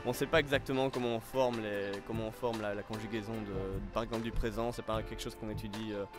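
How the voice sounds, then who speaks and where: A man's voice: fairly low pitch, fairly even intonation, fast talker, music in the background. A man, a guest answering interview questions in a hesitant, casual way full of fillers and restarts. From Belgium. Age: 20s. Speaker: interview guest, French tutor.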